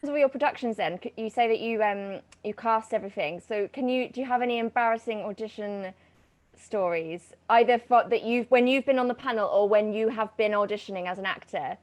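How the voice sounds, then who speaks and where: A woman's voice: on the high side, brisk, a little mellow. A woman hosting a casual interview, putting questions in an informal, conversational way. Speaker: interviewer, host.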